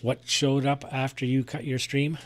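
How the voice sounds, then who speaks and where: Man with a medium-pitched chatty voice. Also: level tone, moderate pace, very noisy. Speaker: amateur radio net participant. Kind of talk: casual group chat on ham radio.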